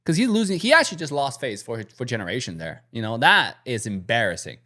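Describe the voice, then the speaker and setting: A man's voice: medium pitch, fast talker. A man from China, speaking English as a with slightly phrasing, giving blunt, casual reaction commentary as host. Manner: blunt, animated, slightly non-native phrasing.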